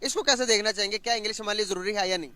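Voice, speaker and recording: A man interviewing people, asking animated, leading questions. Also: high voice, racing. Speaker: street interviewer. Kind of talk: reaction interview.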